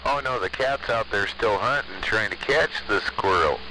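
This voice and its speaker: A man's voice: fairly low pitch, normal speed. An American man chatting casually as an operator over a CB radio transmission. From United States. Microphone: CB radio microphone. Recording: hissy, noisy CB radio transmission.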